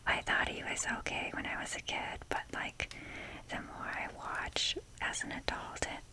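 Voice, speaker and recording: Whispering young woman in a rambling ASMR style. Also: moderate pace. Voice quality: breathy unvoiced whisper. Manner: rambling, casual, self-deprecating. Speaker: ASMR video host.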